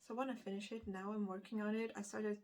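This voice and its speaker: A woman's voice: medium pitch, little pitch movement, quick pace, darkish tone. A woman from Lithuania, a native Lithuanian speaker, chatting casually and unscripted to camera as a book vlogger, rambling and correcting herself hesitantly as she goes.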